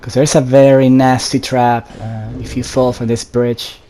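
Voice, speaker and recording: A man giving casual, low-key gameplay commentary in muttered asides, as a player does while playing. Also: flat delivery, a little slow, warm tone. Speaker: video game player commentating. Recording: background noise, game ambience. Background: game sounds.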